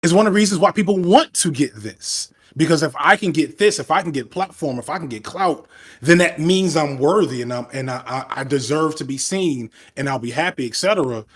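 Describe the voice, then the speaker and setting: A man in his thirties, a former teacher, talking over a headset on a video call in a reflective, fast, self-interrupting way. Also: on the high side, lively intonation, breathy edge. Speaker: guest, video essayist. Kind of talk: casual video call chat. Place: room at home indoors.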